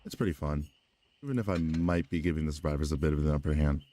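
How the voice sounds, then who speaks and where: Very deep-voiced male streamer. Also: fast talker, dark tone. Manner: joking, talks to himself, tests his mic. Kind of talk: casual gaming livestream chat.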